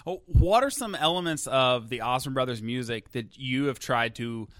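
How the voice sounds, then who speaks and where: A male interviewer asks eager, chatty questions. His voice is bright and forward, and it sounds dry and close, with no room echo. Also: medium pitch, normal speed. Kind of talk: conversational interview.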